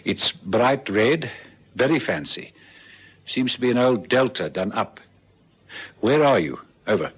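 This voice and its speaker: A South African man playing a dutiful, wry detective sergeant in a radio drama, brisk and procedural. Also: mid-range voice, expressive, slightly rough. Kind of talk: old radio drama acting. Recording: vintage radio recording, faint noise.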